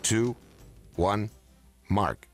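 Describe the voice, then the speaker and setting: A man announcing a radio show in a crisp, measured scripted read. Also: lowish voice. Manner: crisp, measured countdown delivery. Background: loud music bed.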